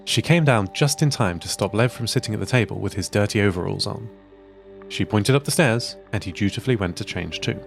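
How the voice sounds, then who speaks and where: Man, narrator, calm, measured, gravelly, faint music behind. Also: fairly low pitch. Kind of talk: scripted narration of an audio drama.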